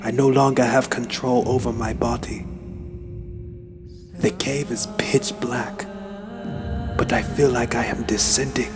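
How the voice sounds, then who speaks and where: A man giving a solemn, deliberate, suspenseful dramatic reading of a first-person horror story. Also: mid-range voice, steady tone. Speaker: narrator. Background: background music.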